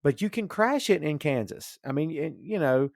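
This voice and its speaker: A man's voice: fairly high pitch, expressive, normal speed, gravelly, darkish tone, no echo. Man chatting casually on a dry recording. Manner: rambling, thinking aloud. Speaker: podcast host discussing comics and film. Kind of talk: casual opinionated chat.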